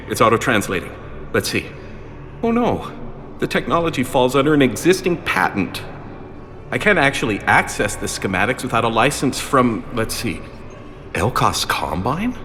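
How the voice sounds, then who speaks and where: An overeager sci-fi game fanboy with a bright voice that bounces all over. He is bumbling and apologetic, hesitating as he gets flustered, with music playing behind him. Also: medium pitch, very animated, normal speed. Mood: flustered, helpful. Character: eager, bumbling, apologetic male fan of a space commander. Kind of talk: video game voice acting.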